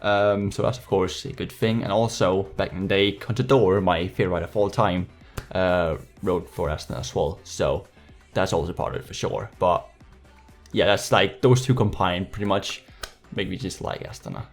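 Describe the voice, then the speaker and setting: A man's voice: on the low side, darkish tone. Casual Danish-accented teenage guy over music. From Denmark. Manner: jokey, slangy, playfully sarcastic. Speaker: YouTuber hosting a Q&A. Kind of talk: casual chat to viewers.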